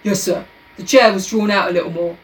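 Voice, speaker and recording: A woman's voice: mid-range voice, steady tone, fairly quick, roomy sound, distant mic, lightly acted, rough recording. A woman voicing a deferential male butler, respectful and factual in manner.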